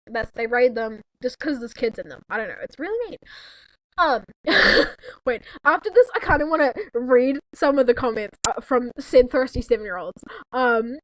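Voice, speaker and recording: A woman's voice: on the high side, expressive, moderate pace, fairly bright tone, rough recording. A teenage girl in casual, giggly chat, rambling and tossing sarcastic asides as she reads and comments on a story. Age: teens. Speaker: co-host of a fanfiction reading. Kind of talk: casual chat with mocking read-aloud commentary.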